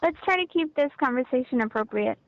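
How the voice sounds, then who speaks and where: A woman's voice: high voice, animated, a little fast. A polite, patient woman working as a customer support agent, speaking over a telephone line. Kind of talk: customer service phone call. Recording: dull, band-limited phone line.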